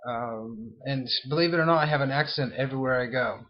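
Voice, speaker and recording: A man's voice: mid-range voice, a little fast, lo-fi sound. A man in his thirties with a mixed American and Australian accent, chatting casually to his email subscribers in a video blog, rambling and apologetic with many fillers and restarts. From California, United States. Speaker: internet marketer vlogging to subscribers.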